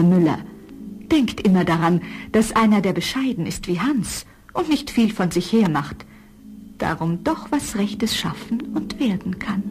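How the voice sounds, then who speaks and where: A woman's voice: on the low side, lightly acted. A woman playing a noble young princess in a fairy-tale audio play, speaking in a formal, dignified manner.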